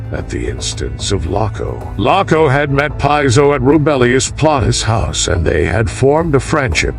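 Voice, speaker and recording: A man's voice: mid-range voice, gravelly. Man narrating a history audiobook over music. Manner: formal, measured reading. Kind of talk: scripted narration of a classical history.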